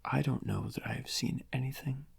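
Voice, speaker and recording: Guy doing a smug supervillain asmr thing, super breathy n croaky, real slow dramatic gloating, vain criminal mastermind. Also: medium pitch, fairly even intonation, full character voice. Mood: aggrieved, self-pitying. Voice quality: breathy, whispery, gravelly. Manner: slow, drawn-out, melodramatic, savouring each phrase with long pauses. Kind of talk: soft-spoken ASMR villain roleplay.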